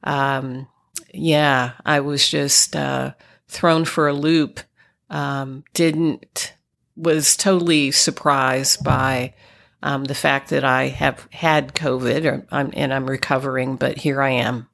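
Congested woman podcaster, slow and gravelly. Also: rumbling low, steady tone, a bit bright. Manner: rambling, many ums and restarts. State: congested, nasal from illness. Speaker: podcast host. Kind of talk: casual solo podcast talk.

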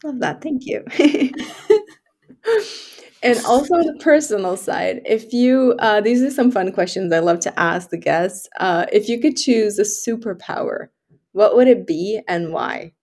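Effusive woman hosting a relaxed interview. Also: medium pitch, unhurried. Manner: effusive, often laughing. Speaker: podcast host interviewing a guest. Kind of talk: casual conversational interview.

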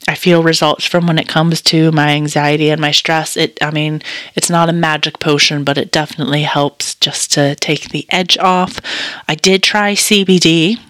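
A woman hosting a casual solo podcast check-in, chatty and self-deprecating, slightly raspy from a sore throat. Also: on the low side, a bit bright, no echo. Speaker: podcast co-host.

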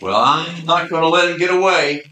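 A man's voice: on the high side, normal speed, bright, forceful tone, reverberant. A man playing a bumbling, easily impressed detective in an amateur radio play. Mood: excited.

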